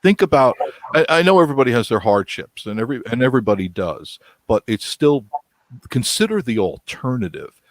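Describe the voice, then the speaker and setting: A man in his sixties chatting casually, folksy and quick to laugh. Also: mid-range voice, sing-song, no room sound. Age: 60s. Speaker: guest on a live chat stream. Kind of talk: casual chat.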